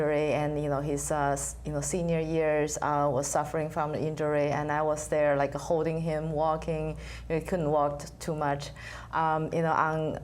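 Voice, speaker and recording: A woman's voice: sits low, darkish tone, slight background noise. A woman organizing a concert, answering interview questions in a chatty way with frequent fillers.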